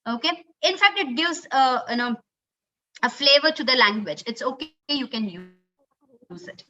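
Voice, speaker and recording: A woman's voice: sits high, lively intonation, unhurried, pushed and bright. A woman whose first language is Kannada, speaking with an Indian English accent, giving an earnest online lecture on communication skills with many fillers like "uh" and "okay". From Karnataka, India. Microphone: computer mic on a video call. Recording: dull lo-fi webinar audio.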